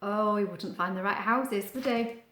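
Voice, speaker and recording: A woman's voice: mid-range voice, normal speed, reverberant. A woman reading a children's storybook aloud, expressively, with chatty asides and questions to the listener. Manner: expressive, explains words and asks the listener questions. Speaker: storybook reader.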